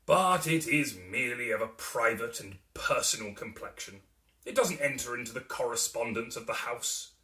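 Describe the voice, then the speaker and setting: A man voicing a stern, pompous middle-aged businessman and proud father in a dramatic reading of a Victorian novel, speaking slowly and grandly. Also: mid-range voice, animated, pushed and bright, reverberant, distant mic. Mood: proud, emphatic. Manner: pompous, grandiose, slow and declamatory.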